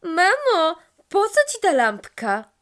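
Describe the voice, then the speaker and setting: A woman voicing an excitable little boy in a children's story, exclaiming in a childlike way. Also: very high voice, slow pace, voice pushed up, exaggerated voice. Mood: excited.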